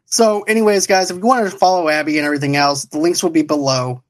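A man's voice: on the high side, quick pace. A man hosting an online pop culture show in casual chat, talking in a rambling, repetitive way.

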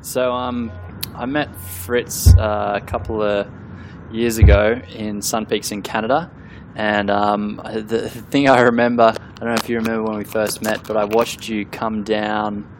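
A man interviewing a guest in a relaxed, halting way, his low voice staying flat. Clear background noise sits under his speech. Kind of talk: casual interview.

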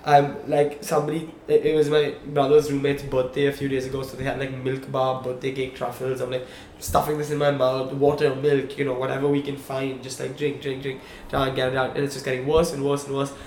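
Young man telling a lively story. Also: mid-range voice, fairly quick, few pauses. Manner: animated storyteller, checks in with "okay? right?". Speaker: podcast co-host. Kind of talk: casual storytelling chat. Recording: echoey room, faint background hum.

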